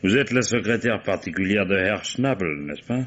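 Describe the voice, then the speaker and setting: Deep-voiced police commissioner: courteous, hesitant, insinuating, old French radio-mystery style. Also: male voice, lively intonation, moderate pace, fairly bright tone, highs cut off. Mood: politely probing. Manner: polite, hesitant, insinuating questioning. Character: male police commissioner, politely probing, wily and dogged.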